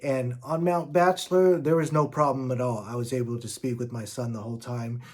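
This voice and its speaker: A man's voice: medium pitch, steady tone, fairly quick, a little mellow. Casual man reviewing gear. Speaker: product reviewer.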